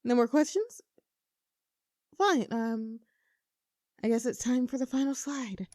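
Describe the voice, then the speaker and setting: A woman's voice: on the high side, lively intonation, slow, dark tone, no room sound, clean studio sound. A woman acting a nervous, playful young woman confessing love to her best friend in an intimate, conversational audio roleplay, teasing and earnest. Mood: flustered.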